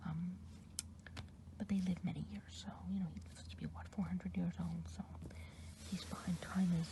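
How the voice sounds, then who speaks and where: A woman's voice: fairly low pitch, noisy background, lightly acted. A woman playing a whispering, gossipy good witch. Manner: soft whispering, rambling gossip. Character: kindly good witch of the woods, chatty. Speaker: ASMR performer. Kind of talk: ASMR roleplay eye exam.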